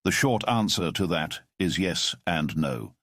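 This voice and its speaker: A man voicing a calm, commanding middle-aged former spy who speaks in a measured, formal way. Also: deep voice, no room sound, clean studio sound, heavily acted. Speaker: storyteller. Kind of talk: audio drama storytelling.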